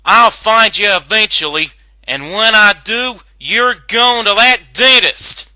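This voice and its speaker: A man's voice: medium pitch, bright, forceful tone, no echo, rough recording. A man narrating a story as a dramatic reading.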